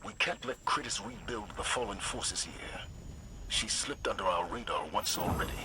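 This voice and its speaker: An actor playing a stern, authoritative military commander in a video game, firm and commanding. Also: male voice, lowish voice, normal speed, sharp tone, slight background noise.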